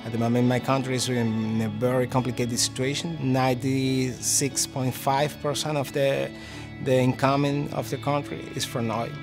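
A man's voice: mid-range voice, little pitch movement, moderate pace. A man, a chemical engineer speaking carefully and searching for his words in an interview. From Venezuela. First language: Spanish. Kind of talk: documentary interview. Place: indoor maker workshop. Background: background music.

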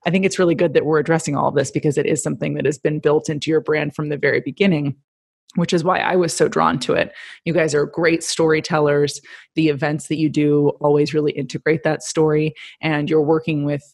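A woman's voice: low voice, level tone, a little fast, vocal fry, a little mellow, slightly rough. A woman hosting a podcast, chatting with a guest in an enthusiastic, chatty way. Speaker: podcast host. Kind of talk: casual interview chat.

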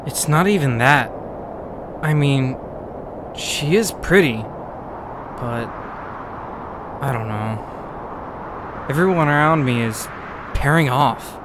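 An awkward, lonely young man played by an actor talks very slowly and hesitantly. It is an amateur comic dub with clear background noise. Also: medium pitch, expressive. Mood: sheepish. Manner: hesitant, halting.

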